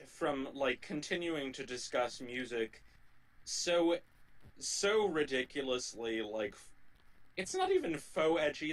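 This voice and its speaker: A man co-hosting a gaming livestream, chatting casually in a rambling, halting way that often circles back and repeats phrases. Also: medium pitch, a bit bright, poor audio. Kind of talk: casual chat during gameplay.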